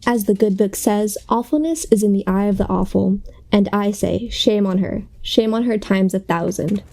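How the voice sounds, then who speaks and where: Woman playing a sanctimonious, mellow-voiced news reporter. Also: medium pitch, moderate pace, gravelly, slight background noise, close to an everyday voice. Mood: sanctimonious, accusing. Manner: sanctimonious, interrogating. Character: self-righteous, accusatory female TV news reporter. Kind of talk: parody news report in a radio play.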